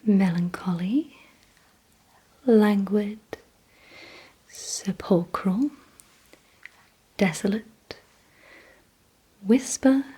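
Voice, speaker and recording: A woman doing an ASMR roleplay, mostly in a breathy whisper, giving gently commanding instructions in a deliberate manner. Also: mid-range voice, poor audio. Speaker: ASMR creator playing a vampire giving memory and attention tests.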